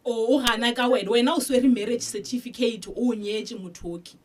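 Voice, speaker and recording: A woman's voice: a little high, fairly even intonation, a little fast, a little mellow. A South African woman, a legal expert guest, explaining marriage law on a radio show with some hesitations.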